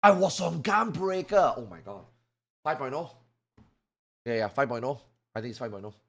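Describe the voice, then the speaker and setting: A man streaming live who chats casually, swears freely and reacts loudly to his viewers, and he says he is losing his voice. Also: medium pitch, animated, normal speed.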